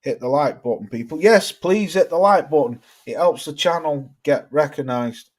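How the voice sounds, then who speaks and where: Young Cheshire lad, Stoke-tinged accent, blunt and sweary livestream host chatting with viewers. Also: male voice, fairly high pitch, moderate pace. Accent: Cheshire English mixed with Stoke. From Cheshire, England. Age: young adult. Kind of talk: casual live chat reading viewer comments.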